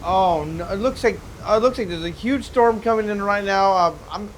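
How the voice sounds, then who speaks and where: A man's voice: high-pitched, moderate pace, a little hiss or hum, lightly acted. A man voicing a flustered male field reporter stuck in a snowstorm, stammering as he talks.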